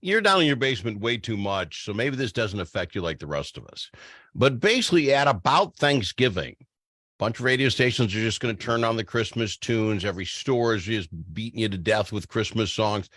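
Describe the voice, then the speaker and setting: A man hosting a radio talk show, bantering in an animated, teasing, mock-pleading manner. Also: on the low side, a little fast, dry recording, clean studio sound.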